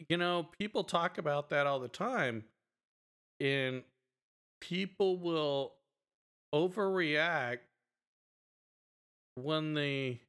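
Middle-aged guy with a strong, smooth voice, chatty podcast host rambling through stories. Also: on the high side. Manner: animated storytelling, lots of fillers. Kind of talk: casual chat.

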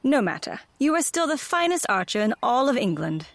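A woman's voice: on the high side, big pitch swings, fairly quick, no room sound. An actress in an audio drama playing an admiring, loyal companion to a noblewoman.

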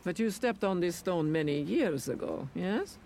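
Portentous street psychic, swooping delivery. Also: female voice, on the low side, lively intonation, a little slow, creaky, warm tone, a little hiss or hum. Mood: intrigued, probing. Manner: portentous, declamatory. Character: mysterious, insistent female street psychic fortune teller. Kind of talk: theatrical audio drama acting.

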